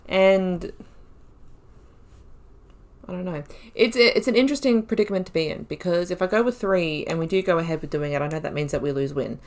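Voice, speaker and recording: A woman's voice: lowish voice, fairly quick. A woman giving let's-play commentary, musing aloud in a chatty way and laughing often. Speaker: YouTuber playing a video game.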